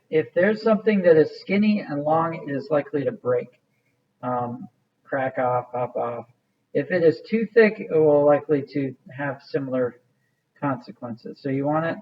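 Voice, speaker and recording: A man giving a casual, step-by-step clay modeling lesson with many fillers, recorded from some distance. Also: fairly high pitch. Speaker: art teacher. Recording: muffled sound from a distant mic.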